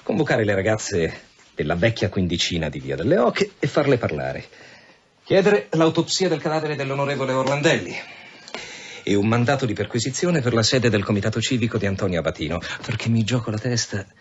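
A defiant, methodical police inspector in a radio drama. His low voice swings in melody as he presses each point formally. The recording is dull, with clear background noise. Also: very animated, fairly quick. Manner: formal, pointed, insistent delivery. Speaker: actor. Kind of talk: Italian radio drama acting.

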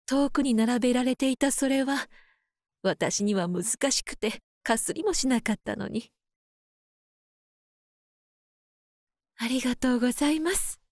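A woman playing a frail, gentle elderly mother in a voice drama, speaking softly and tenderly as she reminisces. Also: a little high, animated, dry recording, studio quality. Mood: fond, wistful. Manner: soft, tender, unhurried. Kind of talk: voice drama acting and first-person narration.

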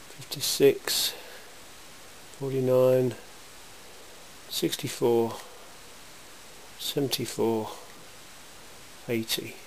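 Slow-talking, gravelly man, flat delivery. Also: mid-range voice. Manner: matter-of-fact, measured, reads out figures. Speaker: welding demonstrator. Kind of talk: informal hands-on demonstration commentary. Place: indoor cluttered workshop. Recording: hissy, noisy amateur camera sound.

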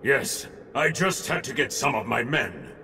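An actor playing a gruff warrior king in a video game cutscene. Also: mid-range voice, big pitch swings, unhurried, pushed and bright, recorded from a distance, a little hiss or hum. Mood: gruff, matter-of-fact. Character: gruff warrior king leading his men.

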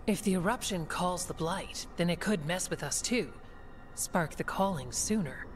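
A woman plays a practical dwarf warden in a fantasy game. Her voice sits fairly low and she talks firmly and directly, with faint music behind. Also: lively intonation, normal speed, lightly acted. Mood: resolute. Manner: firm, direct. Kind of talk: video game dialogue acting.

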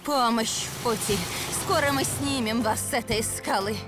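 Женщина озвучивает серьёзную, озабоченную женщину-профессора в детском мультфильме. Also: female voice, fairly high pitch, normal speed, lightly acted. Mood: earnest, concerned. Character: earnest female professor calling for help. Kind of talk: children's cartoon acting. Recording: music under the voice.

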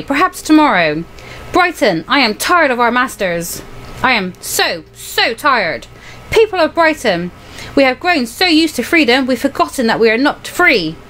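A woman's voice: fairly high pitch, fairly bright tone, slight background noise. A woman voicing a weary older male leader giving a grave, solemn televised address in a visual novel. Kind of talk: dramatic political address read from a visual novel.

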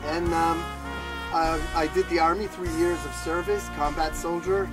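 A man's voice: a little high, steady tone, normal speed, loud music behind the voice, poor audio. A man in his thirties from Israel, talking casually and jokingly to a tour group on a hilltop lookout, with many fillers and restarts. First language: Hebrew. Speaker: local guide. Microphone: far camera.

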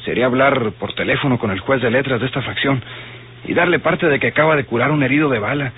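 An actor playing an argumentative, doubtful outlaw sidekick in a radio drama, husky, with a northern Mexican accent. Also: male voice, mid-range voice, quick pace, slight background noise, lightly acted. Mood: worried, objecting. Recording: tinny, narrow old radio recording.

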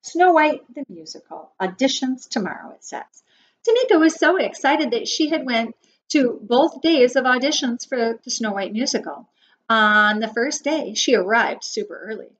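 A woman in her forties reading a picture book aloud to preschoolers as their teacher, expressively and with measured emphasis on key words. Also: a little high, expressive. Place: small wood-panelled room indoors. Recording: dull webcam audio with faint noise.

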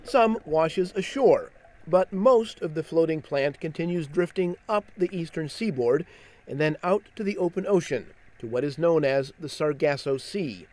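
A man's voice: fairly high pitch, animated, moderate pace, a little mellow. Man narrating a science segment. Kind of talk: scripted narration.

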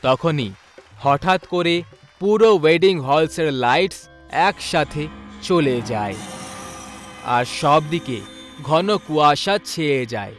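A man's voice: fairly high pitch, lively intonation, fairly bright tone. Suspenseful male ghost-story narrator. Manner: dramatic, suspenseful, with pauses. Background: faint background music.

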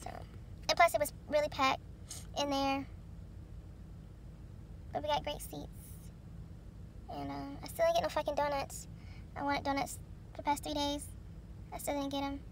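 Young woman, casual vlogger, rambling and chatty, high voice, recorded close on a phone in a car. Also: level tone, normal speed, vocal fry, long pauses, slight background noise. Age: young adult. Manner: chatty, rambling, many fillers and restarts. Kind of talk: casual vlog chat. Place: parked car. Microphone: phone camera held close.

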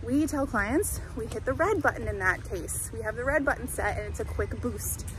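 A woman dog trainer explaining training methods in a clear, conversational way while walking a dog outdoors by a creek in a town center. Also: fairly high pitch, expressive, moderate pace. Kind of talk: instructional walk-and-talk. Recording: outdoor street noise.

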